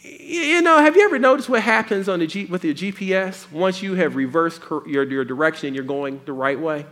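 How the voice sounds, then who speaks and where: Bright, lively-voiced male preacher. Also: high-pitched, a little fast. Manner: folksy, animated preaching with playful asides. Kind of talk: sermon.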